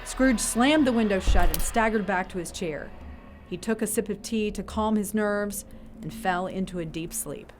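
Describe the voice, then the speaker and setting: A woman's voice: mid-range voice, normal speed. Woman narrator of an audio drama, music underneath. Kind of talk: scripted storytelling narration for audio theater.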